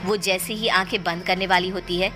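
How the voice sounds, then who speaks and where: A woman's voice: lowish voice, fairly even intonation, fairly quick. A woman narrator reading a scripted horror story in a storytelling style. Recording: clear music bed under the voice. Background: background music.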